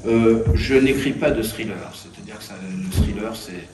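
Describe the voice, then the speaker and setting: Low-voiced hesitant novelist, echoey room. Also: male voice, moderate pace, background noise. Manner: hesitant, many fillers and restarts. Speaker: crime novelist. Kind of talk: festival interview.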